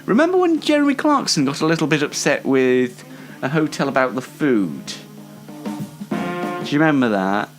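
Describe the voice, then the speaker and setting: A man's voice: mid-range voice, big pitch swings, moderate pace, long pauses, over music. A twenty-year-old British man presenting an informal solo online show in a dry, tongue-in-cheek, rambling way.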